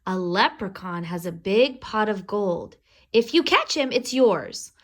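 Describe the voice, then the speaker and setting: A woman's voice: mid-range voice, expressive, measured pace, fairly bright tone. Woman as an eager, mischievous storybook boy. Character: mischievous young boy, eager and excitable brother.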